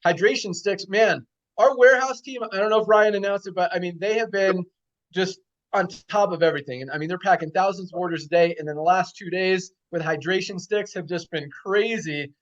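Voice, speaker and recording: A man's voice: high-pitched, a little fast, a bit bright, lo-fi sound. A man hosting a company call, enthusiastically reporting numbers and repeating them for emphasis. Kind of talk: upbeat company update on a video call.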